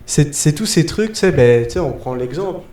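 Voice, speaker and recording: A man's voice: fairly high pitch, animated, a little fast, dark tone. A young man with a French accent, chatting casually as a podcast guest, full of fillers like "tu sais". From France. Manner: informal, many fillers like "tu sais". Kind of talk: casual chat. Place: living in Quebec.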